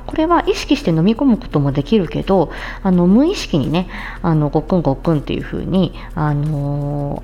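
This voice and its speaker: A woman with a fairly low, very mellow voice gives a casual health explainer. She talks conversationally and addresses her listeners directly as a podcast host. The sound is a little muffled and lo-fi. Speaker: podcast host, speech-language therapist.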